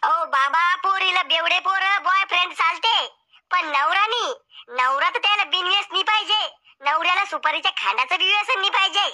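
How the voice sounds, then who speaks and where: A woman's voice: very high voice, moderate pace, pushed and bright, full character voice. A woman voicing a cheeky, idle young grandson in a cartoon, bantering in a rustic way. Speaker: game show contestant. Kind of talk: cartoon comedy acting.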